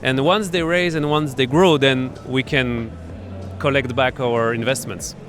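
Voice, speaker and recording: A man with a French accent talks through his work as a startup accelerator founder, stopping often with fillers and restarting his sentences. His voice sits at an ordinary pitch for a man. Faint music plays in the background at the startup event where he is being interviewed. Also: steady tone, a bit bright. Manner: explanatory, halting, with many fillers and restarts. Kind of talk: conversational interview.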